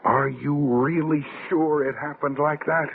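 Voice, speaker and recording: A man's voice: a little high, fairly even intonation, a little slow, gravelly, husky, full character voice. A man playing an agitated young man, earnest and insistent, in an old radio drama. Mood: agitated, pleading. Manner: earnest, intense. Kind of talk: mid-century radio drama acting. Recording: tinny narrow-band old radio.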